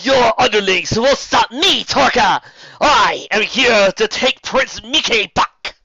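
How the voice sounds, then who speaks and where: A man voicing a proud, heroic female pegasus barbarian in a fantasy story, declaiming loudly. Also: high-pitched, muffled recording, noisy background, pitched above the performer's own voice. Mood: defiant, shouting. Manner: loud, declamatory, drawn-out boasting. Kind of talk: amateur fantasy storybook reading.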